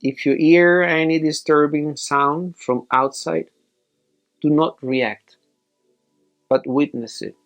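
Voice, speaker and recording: A man leading a guided meditation as a teacher, giving measured instructions in short, separated phrases. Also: a little high, fairly even intonation, soft background music.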